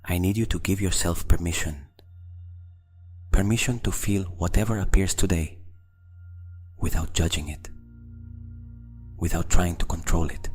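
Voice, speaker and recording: A man narrates a scripted guided meditation in slow, deliberate phrases with long pauses. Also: sits low, a little mellow, slightly rough, faint music behind.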